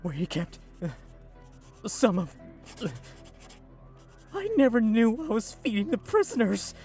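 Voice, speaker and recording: Slow, haunted young fugitive acted by a man. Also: sits high, very animated, warm tone, exaggerated voice. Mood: weary, subdued. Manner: halting, hesitant. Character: traumatized shape-shifting fugitive lad, Lamar, recalling captors. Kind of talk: audio drama acting. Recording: music under the voice.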